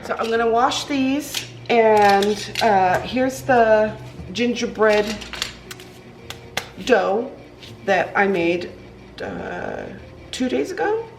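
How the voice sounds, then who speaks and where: A woman's voice: mid-range voice, lively intonation, drawn-out words, gravelly, long pauses, a little hiss or hum. A woman vlogging casually in her home kitchen, nasal from a cold, rambling with lots of ums as she bakes. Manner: rambling, with many ums and restarts. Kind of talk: casual chatty cooking vlog. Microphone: camera propped on the counter.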